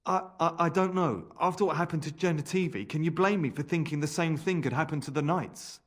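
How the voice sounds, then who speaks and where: A man's voice: fairly high pitch, fairly quick, natural voice. An actor playing a nervous, evasive research assistant in a fantasy video game, solemn and hesitant in his speech. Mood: reluctant, fearful. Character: nervous, evasive male impostor research assistant, gloomy, turning fanatical.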